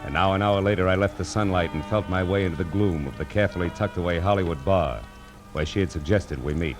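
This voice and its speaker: Actor as low-voiced wry noir detective, music behind. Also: male voice, quick pace, close to an everyday voice. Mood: wry, reflective. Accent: American. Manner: deadpan, sardonic, clipped. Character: wry, world-weary male private detective, blunt and cynical. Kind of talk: 1940s radio acting, first-person noir narration. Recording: vintage 1940s radio, music behind.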